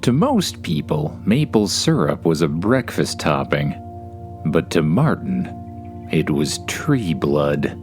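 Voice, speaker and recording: A man's voice: a bass voice, big pitch swings, takes it slowly, darkish tone, faint music behind. A man with a Canadian accent hosts and narrates a children's bedtime story in an informal, conversational way, with wry, dry playfulness.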